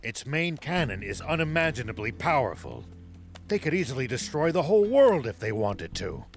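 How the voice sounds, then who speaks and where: An old commander from a game cutscene, with a mid-range voice. He is serious and authoritative, deliberate in phrasing but expressive in pitch. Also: gravelly, faint music behind. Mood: grave, deliberate. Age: older. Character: seasoned old military commander, calm, decisive, serious. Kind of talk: video game cutscene acting.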